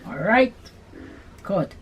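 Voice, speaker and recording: A middle-aged woman with a Guyanese accent, hosting a sewing channel and answering viewer questions in an unhurried, chatty way. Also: medium pitch, sing-song, a little hiss or hum. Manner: unhurried, chatty, Creole-tinged phrasing. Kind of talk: casual Q&A chat to camera.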